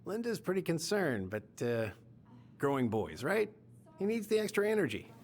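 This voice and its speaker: A genial, fatherly small-town American police chief in a story game. He is middle-aged and folksy, with very lively pitch that rises and drops as he chats. Faint noise sits behind him. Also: normal speed. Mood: chatty, fond. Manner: folksy, chatty. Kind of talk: naturalistic video game acting.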